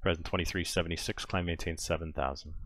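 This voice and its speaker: Very deep-voiced man giving controller calls. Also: fairly even intonation, fast, a bit bright. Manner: clipped, procedural delivery. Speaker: player acting as departure air traffic controller. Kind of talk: air traffic control phraseology.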